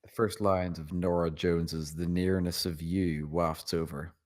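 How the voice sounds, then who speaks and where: A man's voice: low voice, flat delivery, measured pace, darkish tone. A man narrating scene directions from a screenplay at a table read. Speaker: narrator reading stage directions.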